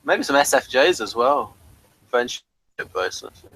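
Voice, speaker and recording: A man's voice: medium pitch, very animated, normal speed, fairly bright tone, breathy edge. A man co-hosting a casual podcast chat about a TV episode, now and then reading out lines from a page. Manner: chatty, reads out bits from a page. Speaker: podcast co-host.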